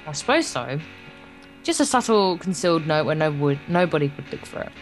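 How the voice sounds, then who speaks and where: A woman's voice: deep voice, very animated, fairly quick, soft background music. A woman hosting a Let's Play, reading visual novel text aloud with informal, jokey asides and the odd stumble. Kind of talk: reading game text aloud with casual banter.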